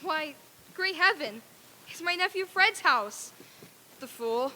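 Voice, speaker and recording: Woman playing a sharp, high-voiced grumpy old miser. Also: lively intonation, slow pace, exaggerated voice. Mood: excited. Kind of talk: old time radio stage reading.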